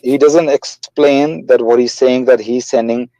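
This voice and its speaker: A man's voice: mid-range voice, fairly even intonation, unhurried, dark tone, no echo, highs cut off. A man as a debate guest, defending his view and repeating that he must correct the other side. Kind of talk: heated live debate.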